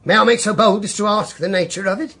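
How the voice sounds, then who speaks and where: An actor playing a shifty, obsequious private detective in a radio drama, with a raspy, creaky voice and a wheedling, nervous manner. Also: male voice, high voice, steady tone, fast talker, sharp tone, heavily acted. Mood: ingratiating. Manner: ingratiating, wheedling, ungrammatical.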